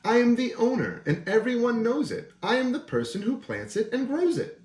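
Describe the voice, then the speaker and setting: A man's voice: extremely high pitch, very animated, normal speed, a little mellow, pitched above the performer's own voice. Brief: man playing a smug storybook gardener, raised and bouncy voice, boastful, echoey room. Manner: boastful, self-assured. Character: proud, possessive male gardener. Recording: roomy echo.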